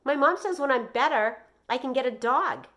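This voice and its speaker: A woman reading a children's novel aloud, voicing an eager, talkative young boy who is earnest and excitable. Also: high voice, moderate pace, voice pushed up. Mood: hopeful.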